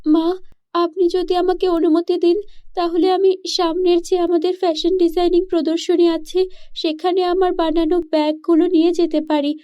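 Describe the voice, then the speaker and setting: A woman acts out a young daughter-in-law from a cartoon story. Her voice is very high and raised in energy. She pleads earnestly, full of hope and eagerness. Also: flat delivery, moderate pace, dark tone, lo-fi sound. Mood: pleading, hopeful. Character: eager, hopeful young daughter-in-law who designs bags.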